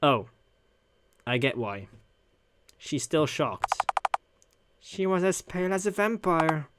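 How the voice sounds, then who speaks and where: A man's voice: on the high side, very animated, slow, very noisy. A man doing casual let's play commentary with wry asides, reading along and reacting as he goes. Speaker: gaming video host.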